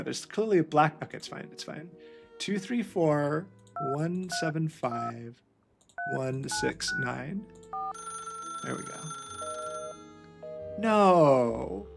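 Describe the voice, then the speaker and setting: Gravelly man thinking aloud while gaming. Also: on the high side, animated, unhurried, long pauses, over music. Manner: musing, thinking aloud, often trailing off. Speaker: video game player. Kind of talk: gameplay commentary.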